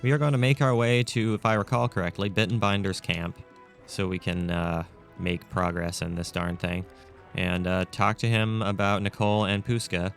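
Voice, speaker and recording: A man with a raspy, scratchy throat talks his way through a video game in a casual, thinking-aloud manner. His voice sits low and has a gravelly fry to it. Faint music runs underneath. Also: steady tone, normal speed. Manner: chatty, thinks aloud with fillers. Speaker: gameplay commentator. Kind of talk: casual let's-play commentary.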